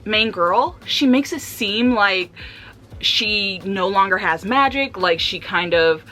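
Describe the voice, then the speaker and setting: A woman chatting casually and candidly about books for a vlog, with an animated, slangy, giggly way of talking. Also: medium pitch, slow, low-quality recording. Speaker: book reviewer vlogger.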